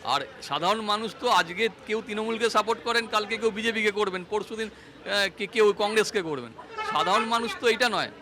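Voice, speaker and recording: A man with a West Bengal accent making a halting, earnest spontaneous statement to the press. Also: high-pitched. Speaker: complainant speaking to press. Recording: outdoors with faint crowd behind.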